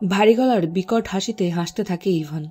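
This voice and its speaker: A woman's voice: medium pitch, normal speed, dark tone, slightly rough. A woman reading scripted story narration as the narrator.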